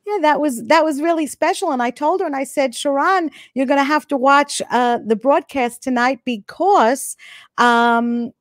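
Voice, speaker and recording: Eager woman host, slightly high voice, dry close mic. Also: moderate pace, dry recording. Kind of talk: live online interview. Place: studio with logo backdrop. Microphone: microphone close in front.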